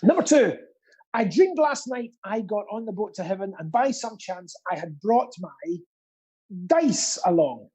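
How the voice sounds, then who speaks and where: A man's voice: high-pitched, big pitch swings, measured pace, dark tone, husky. A middle-aged man hosting a live quiz with camp, rambling, self-deprecating banter. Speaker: quiz host.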